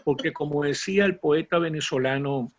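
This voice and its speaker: A man hosting a cultural interview in a conversational but formal way, with florid, deliberate phrasing. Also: on the high side. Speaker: talk show host and interviewer.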